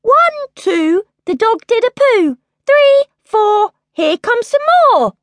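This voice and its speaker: A woman's voice: high voice, huge pitch swings, moderate pace, no room sound, pitched above the performer's own voice. A woman voicing a cheeky, rude little girl princess in a storybook reading, bratty and defiant. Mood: gleeful.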